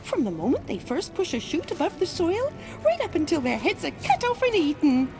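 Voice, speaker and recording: A woman playing a doting, excitable and genteel gardener in a video game, with a theatrical, quaint manner. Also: extremely high pitch, fairly quick, exaggerated voice. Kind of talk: video game character acting. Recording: music behind the voice.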